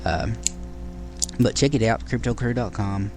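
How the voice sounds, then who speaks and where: A man hosts his own casual show in a low voice, speaking very slowly. He rambles and restarts, with plenty of fillers. Faint music plays underneath. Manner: friendly sign-off to listeners. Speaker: podcast host. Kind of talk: casual solo show talk.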